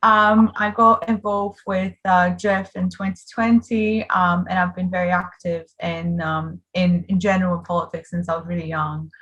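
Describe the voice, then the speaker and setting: Woman, late 20s. Slightly low register, earnest and emphatic interviewee speaking conversationally. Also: steady tone, moderate pace, few pauses, a bit bright, muffled recording. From Bulgaria. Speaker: interviewee, political activist.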